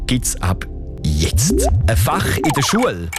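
This chatty Swiss German man hosts a morning radio quiz, his pitch leaping up and down as he talks. He fires off clues briskly over a music bed in a radio studio. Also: wildly swooping pitch.

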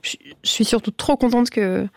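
A woman's voice: a little high, normal speed, creaky, dry recording. A woman hosting a radio phone-in, chatting casually and effusively with callers in an encouraging way.

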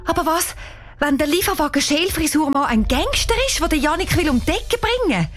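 Woman putting on an anxious, high-voiced Swiss young girl. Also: expressive, unhurried, faint music behind. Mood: panicked. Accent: Swiss German. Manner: worried, pleading. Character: anxious, timid young girl, easily panicked.

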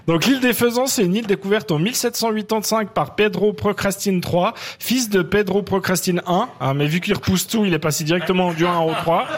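A man with a Swiss French accent telling an absurd made-up story as a quiz panelist, deadpan and cracking himself up. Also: high voice, fairly quick. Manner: deadpan absurd storytelling, laughing at own jokes. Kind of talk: comic storytelling quiz answer.

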